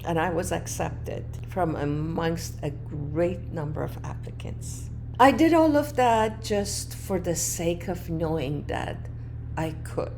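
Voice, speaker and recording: A woman podcast host, not a native English speaker, telling her own story in a reflective, earnest way, moving between emphatic lines and hushed ones. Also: on the low side, animated, takes it slowly, slight background noise. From moved to Canada as a child, not a native English speaker. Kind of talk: personal storytelling monologue.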